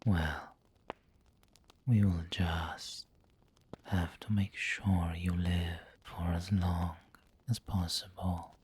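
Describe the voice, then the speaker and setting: A man playing a refined, possessive vampire lord who dotes on the listener in an intimate, whispery ASMR roleplay, speaking formally and deliberately. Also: low-pitched, level tone, darkish tone, heavily acted. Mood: tender, possessive. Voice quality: breathy, whispery, husky. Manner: slow, deliberate, formal, pausing mid-phrase. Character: aristocratic male vampire lord, possessive, doting, refined and snobbish.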